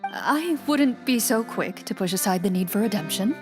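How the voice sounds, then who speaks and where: An actress playing a composed, wise woman hunter and duelist in a video game, speaking in a measured, instructive way. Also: mid-range voice, animated, lightly acted. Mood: calm, resolved. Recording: music behind the voice.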